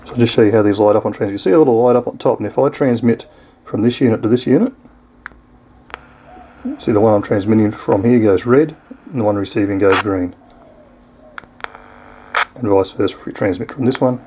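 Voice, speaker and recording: A man's voice: lowish voice, brisk, pauses often, dark tone, a little hiss or hum, poor audio. A man with an Australian accent giving a casual, unscripted product demonstration in an easygoing, explanatory way. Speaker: product reviewer.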